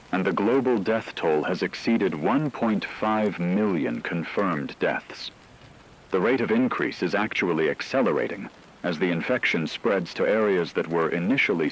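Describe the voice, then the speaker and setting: A man playing a grave, analytical news anchor in an apocalypse radio drama, speaking in a measured, formal way. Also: deep voice, slight background noise, low-quality recording. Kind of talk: scripted radio news commentary.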